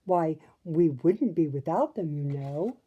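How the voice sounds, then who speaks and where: A woman voicing a bustling, excitable female good fairy from a storybook, urgent and exclaiming. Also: deep voice, takes it slowly, husky, narrow, tinny audio, heavily acted. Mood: assured. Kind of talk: storybook character voice.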